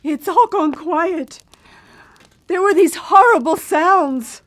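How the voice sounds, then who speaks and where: A frightened woman in a radio play. Her voice is very high and rather slow, anxious and full of questions. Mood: alarmed. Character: frightened, shocked woman bystander. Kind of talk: live radio drama acting.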